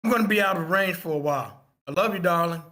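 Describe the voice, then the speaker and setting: A man playing an affectionate, protective father on the phone at a screenplay table read, in a halting read-aloud delivery. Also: high voice, sharp tone. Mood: tender.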